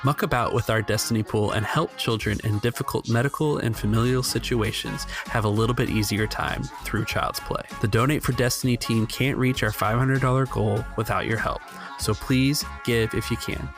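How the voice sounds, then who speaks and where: A man's voice: mid-range voice, steady tone, moderate pace, music in the background. A man hosting a tabletop role-playing podcast and narrating as game master. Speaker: game master and show host.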